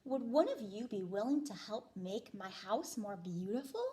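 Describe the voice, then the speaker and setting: Woman reading as a polite, slow-spoken hermit crab. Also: mid-range voice, animated, mellow, natural voice. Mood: admiring, polite. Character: polite, admiring hermit crab seeking helpers. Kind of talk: children's picture book read-aloud.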